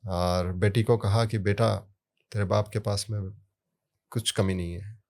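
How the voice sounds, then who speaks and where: A low-pitched man speaks in an easy, explaining way, weaving family stories into his points. He pushes sudden emphasis onto the words that matter, then drops back to a calm, even tone. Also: a little slow. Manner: storytelling with anecdotes, emphatic rises on key words. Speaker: sound therapy guest. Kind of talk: conversational explaining.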